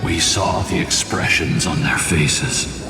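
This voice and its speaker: A man's voice: very deep voice, takes it slowly. An actor playing a grave ancient guardian spirit in a fantasy game, telling his tale with solemn weight. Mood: grim, intense. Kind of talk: dramatic fantasy game voice acting. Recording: echoing hall reverb, distant, with music.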